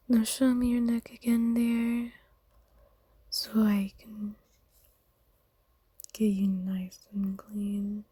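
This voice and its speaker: A woman voicing a kind, doting, centuries-old vampire in a soft, breathy whisper, speaking formally and tenderly in an ASMR roleplay. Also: mid-range voice, no echo, exaggerated voice. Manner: slow, tender, formal wording.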